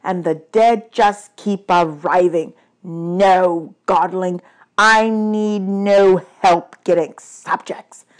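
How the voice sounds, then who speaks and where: A woman acts out an angry male god of the dead from a storybook. He is imperious and aggrieved, ranting at mortals with heavy, drawn-out emphasis on his words. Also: fairly low pitch, fairly even intonation.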